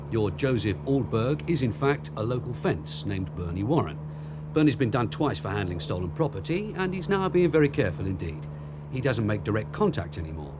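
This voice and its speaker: An actor playing a shrewd, hard-nosed long-serving police detective sergeant in a radio drama, matter-of-fact and dryly authoritative. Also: male voice, lowish voice, background noise. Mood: dry, confident. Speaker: actor in the lead role.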